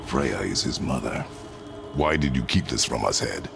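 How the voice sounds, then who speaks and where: An actor playing a stern, brooding warrior god and father in a video game, terse and commanding, with a gravelly voice. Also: very deep voice, animated, moderate pace, over loud music, exaggerated voice. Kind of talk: dramatic video game acting. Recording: loud noise behind the voice.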